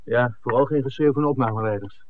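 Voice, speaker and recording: A man's voice: mid-range voice, brisk, lightly acted. An actor playing a questioning, discreet film man in a 1960s radio play, with an understated way of talking. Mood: sceptical. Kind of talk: 1960s radio drama acting. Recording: muffled old radio broadcast, faint hiss.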